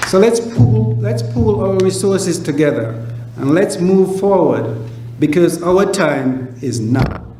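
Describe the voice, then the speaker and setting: A man's voice: high voice, animated, takes it slowly. A man from Saint Kitts giving a formal, earnest, rhetorical speech as a keynote speaker. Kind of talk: formal motivational speech to an audience. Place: event hall at a gala. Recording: echoey room, distant mic, background noise.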